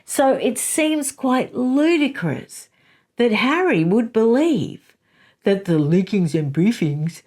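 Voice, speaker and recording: A woman's voice: on the high side, lively intonation, takes it slowly, darkish tone. A woman hosting her own commentary channel, making emphatic opinionated arguments and reading passages aloud. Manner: emphatic, rebutting, reads quotes aloud.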